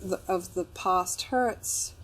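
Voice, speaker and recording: A woman's voice: lowish voice, slow, fairly bright tone, breathy edge. A woman working as a couples therapist, talking through a problem with clients, rephrasing and checking with questions. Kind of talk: probing therapy conversation.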